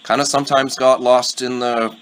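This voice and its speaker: A man hosting a podcast interview, relaxed and chatty, with many fillers and quick laughs. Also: medium pitch, a little hiss or hum. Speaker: podcast host. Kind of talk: casual interview.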